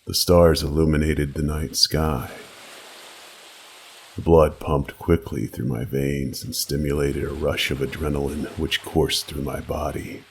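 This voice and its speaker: A man narrating a creepy first-person story in a slow, measured, brooding and dramatic way. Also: rumbling low, creaky, low-quality recording. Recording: faint background noise.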